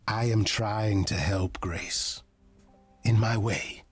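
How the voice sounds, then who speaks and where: Husky, sly, charming god. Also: male voice, fairly low pitch, steady tone, takes it slowly, no room sound, soft background music. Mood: regretful. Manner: sly, theatrical, hedging, flowery wording. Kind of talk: video game dialogue acting.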